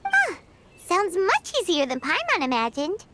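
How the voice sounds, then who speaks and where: Squeaky, very high fairy companion. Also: female voice, huge pitch swings, measured pace, heavy background noise, exaggerated voice. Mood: curious, confident. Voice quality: squeaky, childlike. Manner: speaks of herself in third person, enthusiastic. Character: small perky floating fairy companion, female, childlike, eager. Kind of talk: video game voice acting. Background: music.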